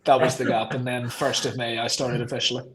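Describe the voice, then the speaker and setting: Easygoing Northern Irish young man, flat voice. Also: mid-range voice, fast, poor audio. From Northern Ireland. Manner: easygoing, rambling, frequent ums. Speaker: guest, club marketing staff. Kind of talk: casual podcast interview.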